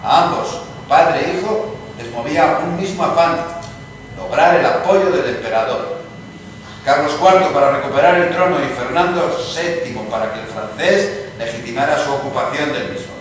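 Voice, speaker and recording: A man playing a learned, scornful gentleman in a dramatized radio-play reading, with formal, declamatory delivery. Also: on the high side, expressive, moderate pace, lightly acted. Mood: courteous, explanatory. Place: live stage reading in a hall. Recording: distant echoey hall, clear noise.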